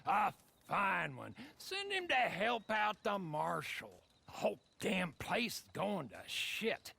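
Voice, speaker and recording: A man playing a rambling, eccentric old American loon of the Wild West in a video game, raspy and folksy. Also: high voice, wildly swooping pitch, slow, sharp tone, full character voice. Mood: folksy, cheerful. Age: older. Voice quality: croaky, raspy, wavering. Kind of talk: video game cutscene acting.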